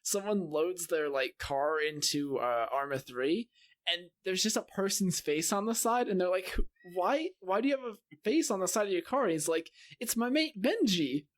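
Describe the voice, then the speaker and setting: A man telling anecdotes in a casual, animated podcast chat. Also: high voice, normal speed.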